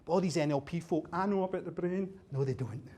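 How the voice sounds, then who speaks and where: A Scottish man with a fairly high voice gives a keynote at a dinner, chatty and quick with a joke. He keeps throwing questions at the audience. He is miked up in a venue with a bar. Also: warm tone. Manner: chatty, jokey, quizzing the audience. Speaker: keynote speaker. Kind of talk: humorous after-dinner talk.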